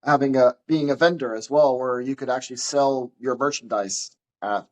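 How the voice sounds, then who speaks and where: A man's voice: medium pitch, steady tone, normal speed, lo-fi sound. A man in a casual group podcast, chiming in with joking asides and short replies. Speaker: podcast co-host.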